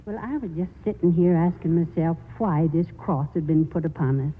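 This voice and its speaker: An actress playing a grieving, pious old grandmother in a 1940s radio drama, speaking in a solemn, halting way. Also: lowish voice, breathy edge. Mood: solemn, troubled. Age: older. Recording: muffled phone-like old radio recording.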